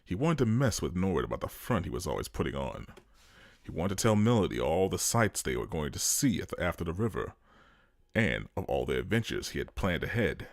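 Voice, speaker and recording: Man, dramatic scripted narration, deep voice, expressive pitch movement, dry recording. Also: dry recording.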